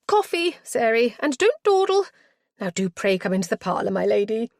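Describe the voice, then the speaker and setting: A woman's voice: fairly high pitch, very animated, moderate pace, a little mellow, dry recording, clean studio sound. A woman reading a novel aloud, voicing a flustered, bustling widow who gushes with old-fashioned politeness. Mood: flustered gushing. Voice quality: fluttery, gushing. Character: flustered fussing older village widow.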